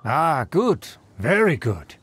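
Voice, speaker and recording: A man's voice: fairly high pitch, very animated, takes it slowly, a bit bright, a little hiss or hum, heavily acted. An actor playing a snobbish fallen nobleman in a fantasy game, speaking in formal, flowery language with great pomposity. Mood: haughty approval. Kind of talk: video game character acting. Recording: background music under the voice.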